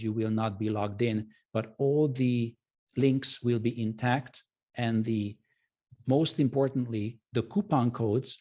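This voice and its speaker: A man with a mellow, slightly husky voice presents a live webinar. He speaks informally and courteously, often pausing and correcting himself as he pitches to viewers. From Hungary. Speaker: presenter and trading educator.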